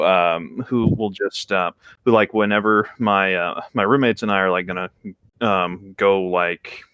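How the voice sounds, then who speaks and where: A man with a fairly low voice, talking casually in a group read-along. He rambles and hesitates as he goes, and the sound is dry and close. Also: a bit bright, dry recording. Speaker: co-reader on a group creepypasta reading show. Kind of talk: casual group chat with story read-aloud. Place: online voice chat.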